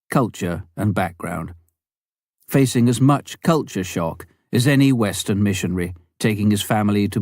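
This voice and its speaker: A man narrating a written memoir as an audiobook reader, in a measured, deliberate way. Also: lowish voice, a little mellow, no echo, studio quality.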